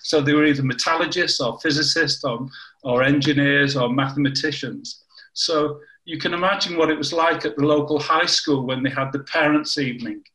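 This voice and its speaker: A man's voice: fairly high pitch, moderate pace, sharp tone, reverberant, poor audio. Older northern English man telling anecdotes. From north of England. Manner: anecdotal, self-correcting with frequent restarts. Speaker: interviewee, former scientist. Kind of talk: conversational interview.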